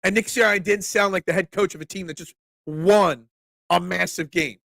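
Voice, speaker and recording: A fairly high-voiced man hosts a sports talk stream, sounding congested, as if he is catching a cold. He rants with excitable, opinionated energy and trips over his own restarts. The sound is close and dry, with no room echo. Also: normal speed, vocal fry. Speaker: sports talk show host. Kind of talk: casual live-stream sports talk, reading chat comments.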